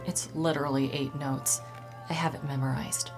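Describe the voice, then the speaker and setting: A guarded young woman from a mystery game who talks frankly and a little wistfully. Her voice sits low and deep for a woman, and clear game music plays under her lines. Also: steady tone, normal speed. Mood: reflective. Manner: guarded, candid, low-key. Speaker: suspect questioned in a mystery. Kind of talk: video game voice acting.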